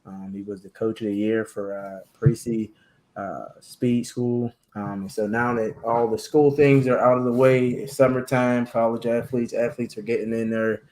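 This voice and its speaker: A man hosting a casual chat about sports and faith, talking in halting bursts with many fillers and restarts. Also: medium pitch, steady tone, darkish tone, low-quality recording. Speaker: podcast host.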